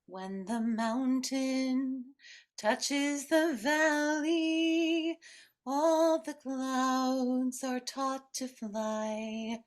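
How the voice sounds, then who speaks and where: High-voiced woman singing very slowly. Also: fairly even intonation, faint music behind. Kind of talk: gentle singing of a slow song.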